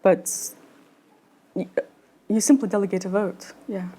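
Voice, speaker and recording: A woman in her thirties giving a conference talk and answering questions, halting with many fillers and restarts. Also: mid-range voice, steady tone, warm tone, husky, rough recording. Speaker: conference speaker. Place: lecture room at a conference.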